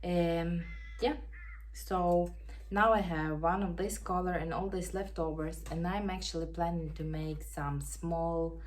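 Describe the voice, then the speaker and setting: Slow-speaking woman with a Ukrainian accent. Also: on the low side, fairly even intonation. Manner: unhurried, searching for words, non-native grammar. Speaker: knitting podcast host. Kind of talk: casual show-and-tell chat. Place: home in Denmark. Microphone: camera.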